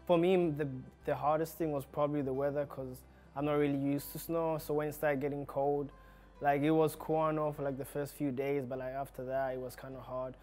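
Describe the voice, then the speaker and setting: A young man from Zambia with a slightly high voice, talking casually and steadily with lots of "like" fillers. Faint music plays underneath. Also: little pitch movement, fairly quick, darkish tone. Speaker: soccer player. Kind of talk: documentary interview.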